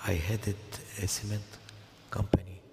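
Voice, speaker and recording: An older man from Jordan giving a formal speech at an event, slow and measured, with pauses. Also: deep voice, little pitch movement, very noisy. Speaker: guest speaker. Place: large hall. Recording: echoey hall, heard from a distance.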